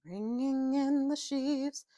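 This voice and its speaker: A devout woman out for a night stroll sings a hymn in a high, strong voice, acted out by a woman narrator. The notes are slow and drawn out, with a quaver at the top. It is an audiobook character, recorded dry. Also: dark tone, no room sound. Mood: devout singing.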